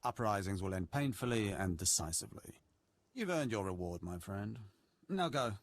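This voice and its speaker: An actor playing a sadistic, courteous evil lord in a video game, pompous and savoring every cruel word. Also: male voice, fairly low pitch, animated, normal speed. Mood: gloating, exhilarated. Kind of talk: video game villain acting.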